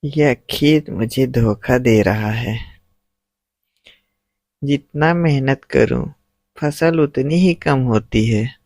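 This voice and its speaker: A man voices an anxious, hard-up farmer from a village cartoon tale. The delivery is slow and earnest, with fry in the voice and a close, dry sound. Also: mid-range voice, a little mellow, no echo, full character voice. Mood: troubled. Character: worried, struggling village farmer, husband and father. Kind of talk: scripted moral-story cartoon dialogue.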